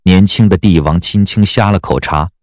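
A man's voice: sits low, normal speed, a little mellow, no echo, studio quality. A man narrating a Chinese period novel as a dramatic scripted audiobook. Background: background music.

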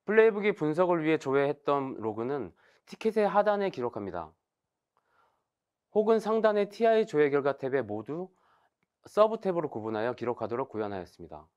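A man in his thirties giving a formal, scripted technical webinar presentation in a measured, explanatory way. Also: on the high side, big pitch swings, a little mellow, clean tone, muffled recording.

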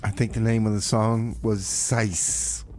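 A man's voice: on the low side, flat delivery, slow pace, a little mellow, a little hiss or hum. A man hosting a community radio music show, back-announcing songs in a rambling, wry way. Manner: rambling, self-interrupting, wry. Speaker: radio DJ and host. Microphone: studio microphone.

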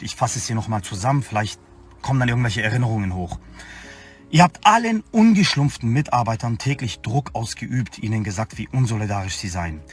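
A man's voice: medium pitch, husky. A man talking into a phone camera from a car, emphatically accusing a company. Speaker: video speaker being reacted to. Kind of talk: direct address to a company.

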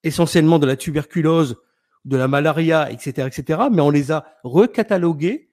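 Guy running a live stream, kinda high voice, pushy and argumentative. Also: a little fast, a little mellow. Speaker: livestream host. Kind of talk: casual chat on a live stream.

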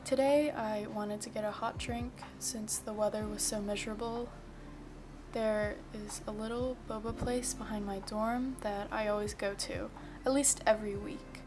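A young woman vlogger calmly reading a scripted voice-over about her day, soft and reflective. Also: mid-range voice, moderate pace. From Virginia. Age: young adult. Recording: music bed under the voice. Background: soft background music.

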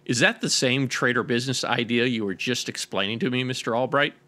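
Bright-voiced inquiring male doctor played by a man. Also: mid-range voice, fairly quick, lightly acted. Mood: puzzled, polite. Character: inquiring, troubled male doctor seeking advice. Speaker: doctor client character. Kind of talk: scripted radio drama dialogue.

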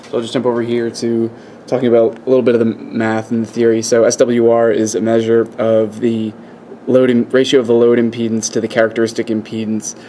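A man's voice: medium pitch, completely flat delivery, fairly quick, mellow, a little hiss or hum. A man giving an informal, hands-on technical explanation in an unscripted, hesitant and methodical way. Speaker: presenter of an electronics demonstration. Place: indoor electronics workbench. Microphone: camera microphone, behind the camera.

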